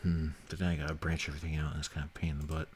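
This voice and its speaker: Male streamer, low voice, informal and sweary, chatting casually while he works. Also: little pitch movement, rapid-fire, a little hiss or hum. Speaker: livestream host and game developer. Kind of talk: casual livestream chat, thinking aloud while working.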